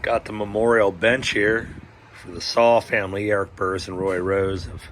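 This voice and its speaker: A man's voice: on the low side, level tone, moderate pace, background noise. Man with a worn-out voice, outdoors. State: losing his voice. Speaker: vlogger touring a film location. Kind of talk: casual walking tour commentary. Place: outdoors at a roadside barbecue spot.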